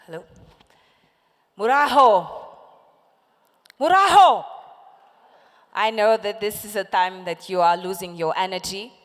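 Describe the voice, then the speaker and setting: A woman's voice: medium pitch, expressive, a little slow, pauses often. A woman in her forties whose first language is Portuguese, giving an impassioned, rallying talk as a panelist, calling out questions to a conference audience. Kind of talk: motivational stage talk. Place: large conference hall with audience. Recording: echoey conference hall.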